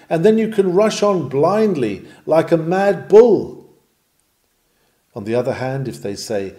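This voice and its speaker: A man's voice: mid-range voice, mellow. A man reading a historic sermon aloud in an earnest, oratorical and rhetorical manner, with emphatic delivery.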